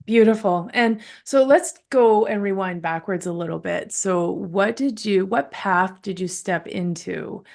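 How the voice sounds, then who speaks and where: A woman's voice: medium pitch, measured pace, gravelly, hardly any pauses, a little mellow. A woman hosting an interview from Alberta, Canada, mostly giving brief affirming replies before asking questions. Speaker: podcast host.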